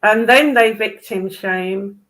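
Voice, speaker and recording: A woman's voice: medium pitch, slow pace, a crowd in the background. A woman in casual discussion, speaking in a blunt, opinionated way.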